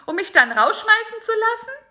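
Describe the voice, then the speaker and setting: A woman voicing a small excitable male fly with high, shrill outbursts in a storybook reading. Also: full character voice. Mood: indignant. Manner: shrill, quick, squealing outbursts.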